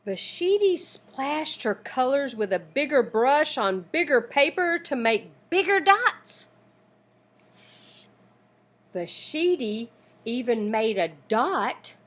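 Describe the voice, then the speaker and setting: Slow, high-pitched woman reading a storybook. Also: lively intonation, fairly bright tone. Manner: slow, deliberate, dramatic storytelling for children. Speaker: teacher reading a picture book aloud. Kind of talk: expressive children's storybook read-aloud.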